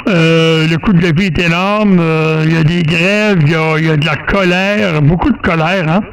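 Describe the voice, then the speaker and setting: A man with a Quebec French accent chatting casually as a radio operator, folksy and halting, often repeating words. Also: on the high side, steady tone, poor audio. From Quebec, Canada. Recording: faint radio noise.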